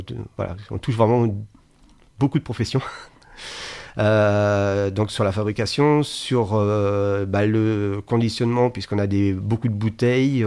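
A man's voice: fairly low pitch, vocal fry, a little mellow, smooth. A man from Angers, in France, talking about his museum in a spontaneous interview, hesitant and full of fillers and restarts. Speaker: museum founder, association member. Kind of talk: radio interview, spontaneous speech.

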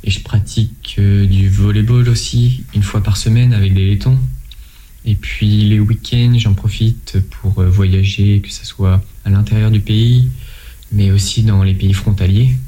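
A man in his twenties from France, answering interview questions in a measured way, often pausing and restarting. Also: on the low side, steady tone, mellow, low-quality recording. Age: 20s. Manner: measured, with frequent hesitations and restarts. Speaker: interview guest, volunteer.

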